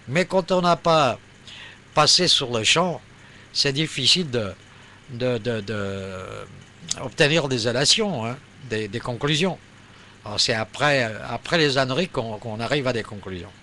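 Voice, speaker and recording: A man's voice: medium pitch, expressive, a little slow, pushed and bright. A man talking casually as an amateur radio operator, explaining and giving advice in colloquial language, his phrases swelling and trailing off. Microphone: radio operator's room microphone, streamed online. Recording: faint background noise.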